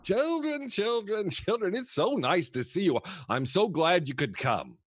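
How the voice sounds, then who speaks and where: A man acts a kooky elderly grandfather in a comic play. His fairly high voice swings widely as he rambles, mutters and declaims with doddering affection. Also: very animated, measured pace, no room sound, exaggerated voice. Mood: flustered, genial. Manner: rambling, theatrical, hesitant muttering with sighs. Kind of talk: comic stage monologue and dialogue.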